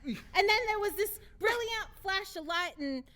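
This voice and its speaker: A woman's voice: very high-pitched, animated, moderate pace, bright, forceful tone, full character voice. An actress playing an irate, blunt English countrywoman in a comedy radio drama, brash, colloquial and combative. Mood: aggrieved.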